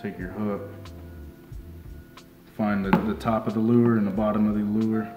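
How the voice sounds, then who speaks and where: Slow, low-voiced young man demonstrating. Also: highs cut off. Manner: calm, unhurried, repeats "just like so" while working with his hands. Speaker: fishing charter deckhand. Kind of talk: step-by-step how-to demonstration. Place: small indoor tackle shop workbench. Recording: roomy sound with music underneath.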